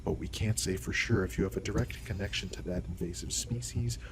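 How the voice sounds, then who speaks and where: A man acting as an authoritative alien doctor in a sci-fi audio drama. His voice is on the low side, and he explains things formally and courteously. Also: a little fast, noisy background. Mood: intrigued.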